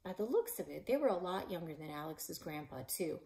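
Lively woman reading a children's novel. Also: low-pitched, fairly quick, gravelly. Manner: lively, voicing characters with shouts and whispers. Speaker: librarian and author reading her novel aloud. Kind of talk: children's book read-aloud. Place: living room in front of a stone fireplace.

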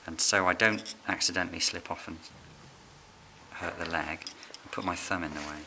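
A middle-aged man, a licensed bird ringer, calmly explaining his fieldwork while handling birds in a farm barn. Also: sits low, normal speed. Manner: calm, quiet, hands-on explaining with fillers. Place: open farm barn on straw, outdoors air. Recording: noisy outdoor camera recording.

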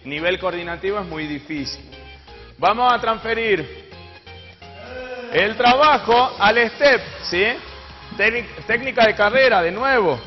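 A man's voice: sits high, animated, unhurried, pauses often. A young Argentine man instructing and encouraging as a fitness trainer during a live exercise demonstration. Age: young adult. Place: TV studio, indoors. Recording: dull echoey TV audio, music behind.

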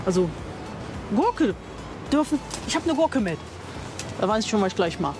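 A woman's voice: medium pitch, big pitch swings, normal speed, over music. An older woman giving a chatty, enthusiastic on-camera tour of a campsite outdoors, thinking aloud and correcting herself as she goes. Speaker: vlogger touring a campsite. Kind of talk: casual on-camera tour commentary.

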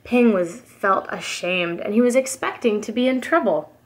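A young woman reading a children's picture book aloud in an expressive, careful storytelling manner, as a preschool teacher does. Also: mid-range voice, normal speed, low-quality recording. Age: young adult.

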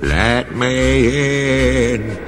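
A man voicing a mischievous cartoon-like train engine playing a ghost, with eerie drawn-out wailing in a storybook reading. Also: medium pitch, fairly bright tone, soft background music, pitched above the performer's own voice. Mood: spooky, shrieking. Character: spooky shrieking train engine pretending to be a ghost.

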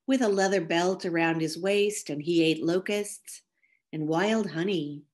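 A woman with a fairly low voice reads scripture and a short reflection very slowly. She takes each phrase with careful, measured weight, like a devotional voice-over. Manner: slow, careful, measured reading. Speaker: minister.

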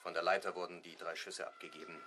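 An actor playing a police investigator in a German radio play, halting and factual, with a forceful, bright voice. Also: male voice, low voice, little pitch movement, background noise, lightly acted. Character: hesitant, official male police investigator.

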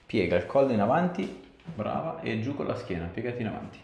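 A man's voice: mid-range voice, sing-song, fast, vocal fry, darkish tone, a little hiss or hum. A man in his thirties, a chiropractor giving short, informal instructions and questions during an exam. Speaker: chiropractor examining a patient. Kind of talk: casual clinical exam chat with instructions. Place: small indoor clinic room. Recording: echoey small treatment room.

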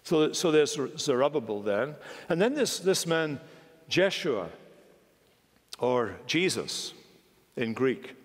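A man in his sixties preaching a sermon from a pulpit in a large wood-panelled church, emphatic and measured, with wide swings of pitch. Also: big pitch swings. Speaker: senior minister preaching. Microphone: pulpit microphone. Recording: long church hall reverb.

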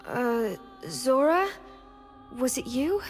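A woman voicing a nervous young huntress in a video game, soft-spoken and hesitant. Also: high-pitched, lively intonation, slow, faint music behind. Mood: frightened. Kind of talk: video game narration and dialogue.

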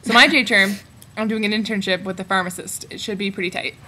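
A teenage girl tells a story into a laptop webcam at home in a laid-back, chatty way. Her voice is bright and sits at a middle pitch. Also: steady tone, fairly quick. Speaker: co-host. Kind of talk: casual webcam chat. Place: bedroom at home, indoors. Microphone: built-in laptop webcam mic.

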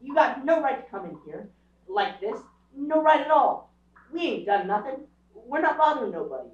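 Acted stubborn proud kid, high voice, blunt, echoey stage recording from far off. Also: very animated, normal speed, lo-fi sound. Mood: defiant. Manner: blunt, insistent. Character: defiant, proud young boy protecting his siblings. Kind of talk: stage radio drama acting. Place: small theatre stage, recorded from audience camera.

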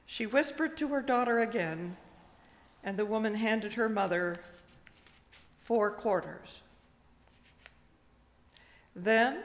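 An older woman, a retired pastor, preaches slowly and gently, telling a personal story with pauses, at a pulpit microphone in a church. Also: mid-range voice. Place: church sanctuary, indoors. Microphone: stand microphone at a pulpit. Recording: long reverb of a church hall.

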